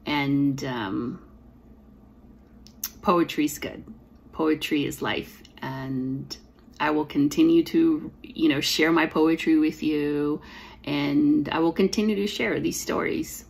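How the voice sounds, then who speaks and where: Low, gravelly woman with a slight lisp. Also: takes it slowly, darkish tone. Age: 40s. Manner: reflective, earnest, with fillers and restarts. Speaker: poet and author. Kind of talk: personal storytelling to camera. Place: small room at home, indoors. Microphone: device camera at close framing.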